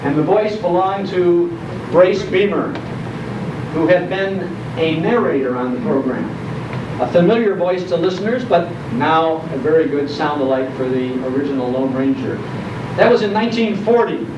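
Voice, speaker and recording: Older male speaker, American accent, nostalgic stage storyteller with deliberate dramatic emphasis; echoey room, clearly noisy live recording. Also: on the high side, expressive. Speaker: guest speaker, radio nostalgia host. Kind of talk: live talk telling nostalgic stories to an audience. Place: indoor program venue before an audience. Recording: echoey, noisy, muffled live hall recording, distant microphone.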